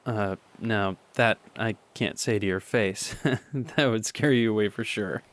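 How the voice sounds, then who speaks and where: A young man acting a lovesick, anxious teenage high school boy in an audio drama monologue, speaking haltingly and confessionally. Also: fairly bright tone. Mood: wistful, tender.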